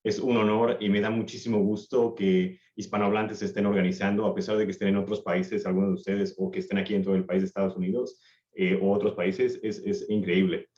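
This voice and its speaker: Man, 30s, low voice, rambling restarts, echoey room, muffled call audio. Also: flat delivery, fairly quick. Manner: warm, rambling, many restarts. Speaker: panelist, recruiter. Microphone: video call.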